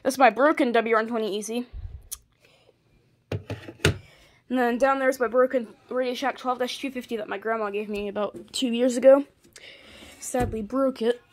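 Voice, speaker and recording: A hobbyist showing off a collection in a casual, halting, offhand way, filmed on a handheld device in a bedroom. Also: medium pitch, fairly even intonation, slight background noise. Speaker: hobbyist video maker. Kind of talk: casual show-and-tell of a collection. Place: small carpeted bedroom, indoors. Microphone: handheld phone or camera, moving around.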